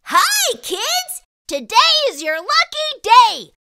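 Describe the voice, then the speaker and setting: A tiny, eager little creature with a very high, very bright voice. It talks slowly and carefully, swinging its pitch up and down as it asks question after question. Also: female voice, huge pitch swings, no echo, heavily acted. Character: small curious childlike purple spot creature. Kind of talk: children's picture book read-aloud.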